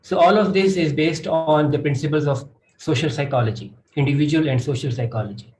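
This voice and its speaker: A man's voice: a little high, brisk, darkish tone. A man with a Pakistani accent speaking as an academic panelist, explaining his work. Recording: echoey room with faint noise.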